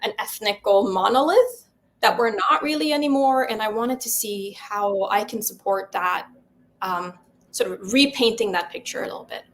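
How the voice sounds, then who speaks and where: A woman's voice: medium pitch, normal speed. A middle-aged woman from Sweden, a Swedish native speaker and film festival founder, answers interview questions in a thoughtful way, with many fillers and restarts. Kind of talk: interview guest.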